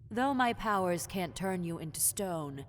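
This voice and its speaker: A woman voicing a possessive, teasing lamia, a half-snake predator, in a roleplay audio drama, with condescending, seductive taunts that slip into breathy whispers. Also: on the low side, animated, measured pace. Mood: taunting. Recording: long cave-like reverb, faint noise.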